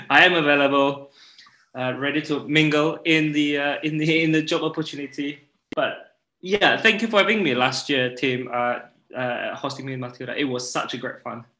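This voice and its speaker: A man's voice: fairly high pitch, steady tone, moderate pace, pushed and bright. A man in his thirties with a Malaysian accent, chatting casually as a podcast guest. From Borneo, Malaysia. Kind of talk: casual chat. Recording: echoey, roomy sound.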